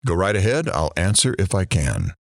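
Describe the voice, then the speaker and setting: A man as a wry radio host, deep voice, big pitch swings, mock news delivery, close dry sound. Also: wildly swooping pitch, normal speed, gravelly, dry recording, full character voice. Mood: wry, friendly. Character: self-deprecating, dry-witted male morning radio host.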